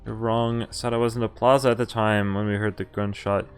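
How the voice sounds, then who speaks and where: A man's voice: on the low side, flat delivery, smooth, faint music behind. A man streaming a game, reading visual novel text aloud and adding casual commentary. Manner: halting asides, casual swearing.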